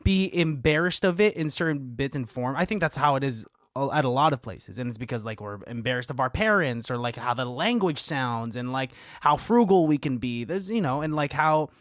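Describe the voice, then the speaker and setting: A man's voice: mid-range voice, lively intonation, fairly quick, few pauses, dry recording, studio quality. A young man co-hosting a podcast, talking casually and candidly and laughing easily. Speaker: podcast co-host.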